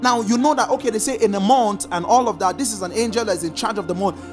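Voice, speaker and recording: A preacher teaching a congregation, stressing points in slow drawn-out repeated commands. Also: mid-range voice, faint music behind. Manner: lively sing-song emphasis, slow drawn-out repeated commands. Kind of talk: sermon teaching.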